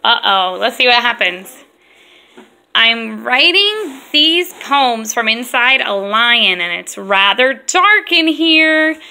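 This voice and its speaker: A woman, a mother, reading aloud and chatting with young children in an expressive, encouraging way and simple phrasing. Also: on the high side, slow. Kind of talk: read-aloud storytelling mixed with casual chat with children.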